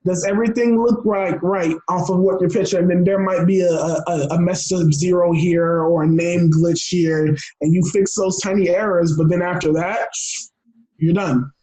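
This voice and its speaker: A young American man talking casually as an interview guest, excitable and rambling, often restarting his phrases. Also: sits high, normal speed, rough recording. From Florida, United States. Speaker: podcast guest.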